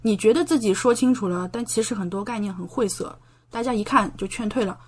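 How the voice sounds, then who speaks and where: A woman's voice: medium pitch, fast, slightly rough. A woman guest on a podcast, talking casually and explaining things in a talkative way with rhetorical questions and quoted exchanges. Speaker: podcast guest, medical doctoral student and science writer.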